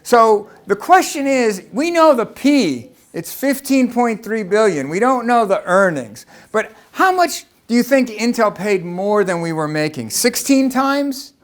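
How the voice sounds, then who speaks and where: Brief: American man, high-pitched, conversational lecturer asking rhetorical questions. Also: very animated, unhurried, few pauses. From Los Angeles, United States. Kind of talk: presentation talk to an audience.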